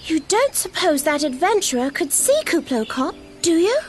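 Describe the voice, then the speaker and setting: Perky young female adventurer, very high voice, animated, blunt, chatty fantasy game delivery. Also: moderate pace, slight background noise. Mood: puzzled, bright. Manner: chatty, informal, frank.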